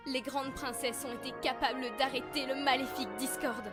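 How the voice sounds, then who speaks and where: A woman's voice: high-pitched, little pitch movement, fairly quick, close to an everyday voice. A woman voicing an affectionate, chatty pony mother in a French cartoon fandub, cheerful and doting. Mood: gentle storytelling. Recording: studio dub with faint music.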